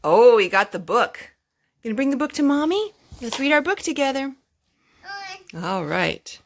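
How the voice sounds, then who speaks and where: A woman's voice: a little high, big pitch swings, unhurried, a little hiss or hum. A woman reading a picture book aloud to her young children, with singsong, coaxing asides to them. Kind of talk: storybook read-aloud with chatty asides to children.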